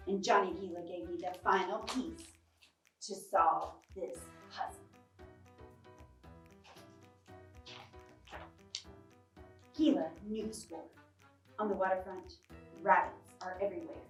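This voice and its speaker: A woman's voice: fairly low pitch, fairly even intonation, moderate pace, far from the mic, natural voice. A woman voicing a hard-boiled cat detective who narrates a storybook case in a dramatic, clipped noir manner. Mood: determined. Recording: roomy, off-mic, music behind.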